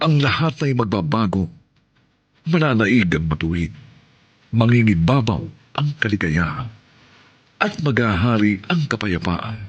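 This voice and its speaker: A man's voice: on the low side, sing-song, a little slow, long pauses, slightly rough, lo-fi sound, soft background music. A man narrating and introducing a radio drama in a solemn, declaiming way.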